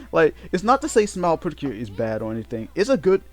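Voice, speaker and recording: A man giving casual, unscripted game commentary in a halting way, often repeating and correcting himself as he goes. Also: a little high, darkish tone. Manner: halting, repetitive, self-correcting. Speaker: let's play host. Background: game music.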